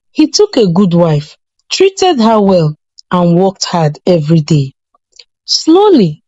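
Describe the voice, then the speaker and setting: A woman narrating a scripted folktale in a measured, dramatic storytelling manner. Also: lowish voice, mellow, dry recording, highs cut off.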